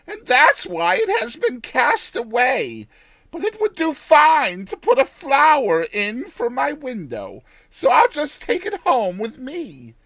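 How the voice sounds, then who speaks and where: A man's voice: very high-pitched, huge pitch swings, takes it slowly, vocal fry, pushed and bright, no room sound, pitched above the performer's own voice, heavily acted. A man voicing a cheery, ever-optimistic old countrywoman from a storybook, exclaiming in folksy rustic speech. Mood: curious.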